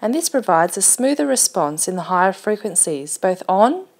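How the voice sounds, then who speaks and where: Female narrator for a product promo, scripted read at an ordinary mid-range pitch. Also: very animated, moderate pace, a little mellow, husky.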